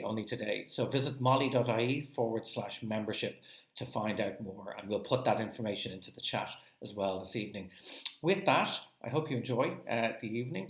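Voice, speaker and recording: A man's voice: on the low side, echoey room. A man making polite announcements before an event, with occasional fillers. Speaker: museum presenter.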